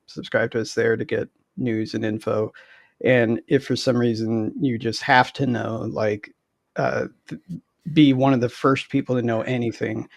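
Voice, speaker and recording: A man's voice: mid-range voice, vocal fry, no echo. A man in his thirties, talking casually as a game designer guest on an interview.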